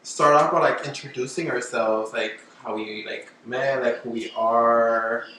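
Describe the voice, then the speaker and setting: A man's voice: medium pitch, fairly even intonation, a little slow, fairly bright tone. A man co-hosting a casual podcast, chatting in a sassy, giggly way full of slang and swearing. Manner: sassy, slangy, profane banter. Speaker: podcast host. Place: echoey room. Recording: echoey room, far mic, faint noise.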